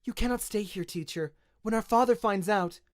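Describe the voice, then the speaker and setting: A man voicing a polite, flattering young princess with a steely streak in an audio story. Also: sits high, normal speed, no echo, clean studio sound, voice pushed up, close to an everyday voice. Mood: concerned.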